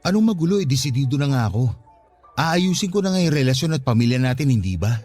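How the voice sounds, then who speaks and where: An earnest Filipino young father in a radio drama. He speaks in a coaxing, conversational manner, pleading his case at an ordinary pitch. Music plays softly underneath. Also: very animated, fast talker. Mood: hopeful, insistent. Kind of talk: radio drama dialogue in Tagalog. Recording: background music under the dialogue.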